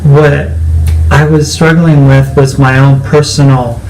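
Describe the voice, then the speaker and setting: A man's voice: medium pitch, little pitch movement, slow pace, darkish tone, a little hiss or hum, rough recording. A man co-hosting a personal conversation, talking reflectively and often searching for his words. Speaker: co-host.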